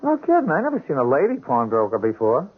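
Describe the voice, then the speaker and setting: An actor in a 1970s radio drama playing a hapless, befuddled small-time drunkard who stammers evasively in streetwise speech. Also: male voice, mid-range voice, wildly swooping pitch, fast talker, husky. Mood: evasive, nervous. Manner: stammering, hesitant, streetwise bad grammar. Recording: tinny, band-limited old radio broadcast.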